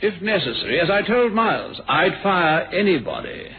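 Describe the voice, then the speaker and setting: An actor playing a smooth, domineering, needling father and boss in a radio mystery drama, with a suave, pointed delivery. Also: high-pitched, big pitch swings, moderate pace. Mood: smooth, menacing. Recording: thin, phone-like old radio recording.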